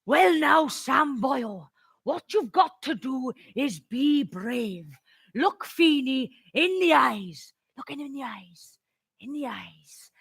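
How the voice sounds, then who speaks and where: A woman playing an excitable, bossy schoolgirl narrator, telling a children's story with theatrical emphasis. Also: high-pitched, takes it slowly, vocal fry, breathy edge, no echo, clean studio sound, heavily acted. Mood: coaching.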